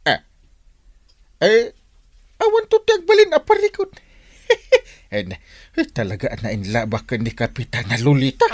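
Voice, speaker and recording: A man's voice: mid-range voice, normal speed, pauses often, lightly acted. A man acting a stammering, wildly swooping lovestruck village captain. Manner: stammering, hesitant, excitable. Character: smitten, flustered village captain, comic married man. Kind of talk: comedy drama acting.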